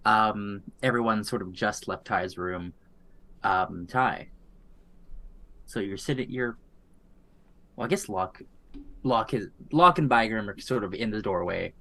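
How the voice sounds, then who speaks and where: A man runs a tabletop game as dungeon master, setting each scene in an easygoing, hesitant way. His voice sits fairly low and moves at a slow, unhurried pace. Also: bright, forceful tone.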